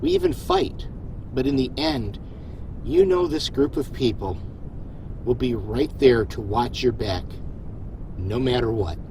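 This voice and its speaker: A man acts a fatherly starship captain in a sci-fi audio drama. He is supportive and a little self-deprecating, with animated, folksy delivery. A faint rumble runs behind him. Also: unhurried, gravelly, pauses often, poor audio. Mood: reassuring. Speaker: voice actor.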